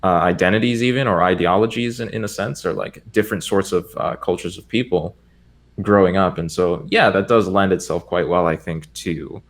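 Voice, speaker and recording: A young man with a deep, low-pitched voice and an American accent, whose first language is Spanish. He talks as a podcast guest in a loose, casual way, wandering through his points with plenty of "like" and "um". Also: moderate pace. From United States. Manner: rambling, lots of "like" and "um" fillers. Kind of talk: casual chat.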